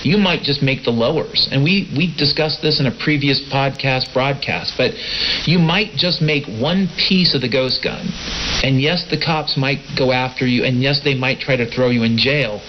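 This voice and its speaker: A man's voice: mid-range voice, normal speed. A man hosting an informal radio monologue, talking to his listeners in a folksy, familiar way. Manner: folksy, addresses listeners as friends, brothers and sisters. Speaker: host of a shortwave podcast broadcast. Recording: hissy, muffled shortwave radio broadcast.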